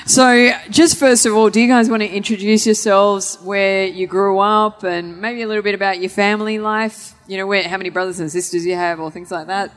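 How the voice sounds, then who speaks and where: A woman hosts a live stage chat in a big convention hall, her voice trailing a long reverb. She is chatty and lightly joking and speaks at a middling pitch, with frequent ums. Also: fairly even intonation, normal speed. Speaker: host. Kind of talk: hosting a live stage interview. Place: large convention centre hall.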